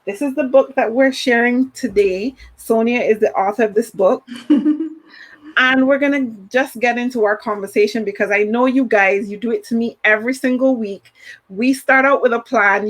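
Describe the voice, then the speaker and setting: A woman's voice: fairly high pitch, moderate pace. Woman, podcast host, upbeat, speaks directly to viewers. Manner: upbeat, addresses the audience directly. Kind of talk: live podcast hosting.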